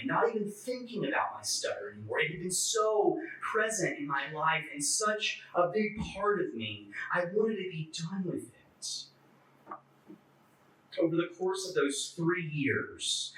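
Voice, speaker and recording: Bright-voiced male preacher with a stutter, echoey room. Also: a little high. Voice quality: stutter, occasional broken fluency. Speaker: clergy member giving a talk. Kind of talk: personal testimony sermon at a church forum. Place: echoey indoor church forum space. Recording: echoey room, distant microphone.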